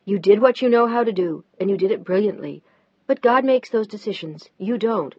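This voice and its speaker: A woman voicing a devoted wife who talks gently but firmly to her husband. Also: mid-range voice, animated, normal speed, no echo. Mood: heated. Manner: gentle but firm, rising when pushed. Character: devoted, pleading wife of a surgeon. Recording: dull, dry lo-fi recording.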